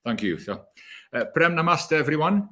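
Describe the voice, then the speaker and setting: An energetic man speaking as a leadership coach in an online talk, often repeating words as he gathers his thoughts. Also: a little high, normal speed. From South Africa.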